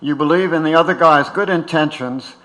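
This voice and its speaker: A man's voice: on the high side, brisk, pushed and bright, rough recording. A man giving informal tribute remarks in a hesitant, wry, understated way. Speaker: speaker at a tribute.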